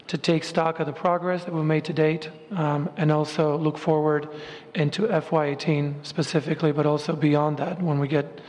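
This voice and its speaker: A man opens and steers a formal group meeting. He talks in a fairly high, level voice, measured and full of small ums. His words ring out with the long reverb of a large meeting room. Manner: measured, filler-heavy, steady delivery. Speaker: meeting facilitator.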